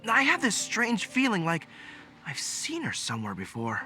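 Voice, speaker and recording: A woman's voice: mid-range voice, huge pitch swings, normal speed, loud music behind the voice. A woman playing a mild-mannered, polite character in a video game, tentative and courteous. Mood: hesitant, puzzled.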